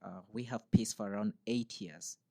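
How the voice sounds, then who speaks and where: A man's voice: on the low side, normal speed, creaky, dark tone. A man from Uganda, a podcast interview guest who talks in a cheerful, explanatory way, recorded in a university podcast studio. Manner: cheerful, explanatory, laughs easily. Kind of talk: casual interview conversation. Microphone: podcast studio mic.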